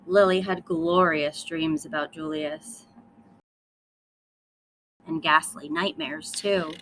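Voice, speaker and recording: A woman reading a children's story aloud in an expressive style with dramatic emphasis. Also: low voice, slow, a little hiss or hum, rough recording. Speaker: storybook reader.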